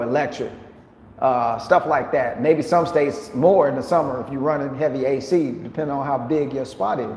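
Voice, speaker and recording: Slangy joking man in echoey room. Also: fairly high pitch. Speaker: vlogger living abroad. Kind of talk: casual chat to viewers. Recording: echoey room with long reverb.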